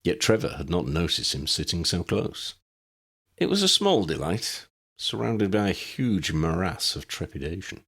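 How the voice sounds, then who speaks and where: A man narrating an audiobook of his own fantasy story in a measured, expressive manner. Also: deep voice, breathy edge.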